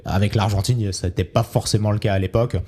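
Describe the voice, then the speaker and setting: A man's voice: lowish voice, fairly even intonation, quick pace, dark tone, husky. A young man hosting a casual podcast, explaining history loosely with many fillers. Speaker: podcast host.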